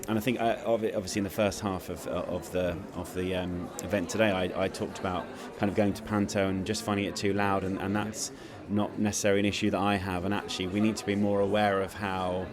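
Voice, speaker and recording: Male interviewee, low voice, halting and informal, busy crowd behind. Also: flat delivery. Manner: hesitant, repeats words as he searches. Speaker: event organiser and performer. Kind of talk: casual interview answers.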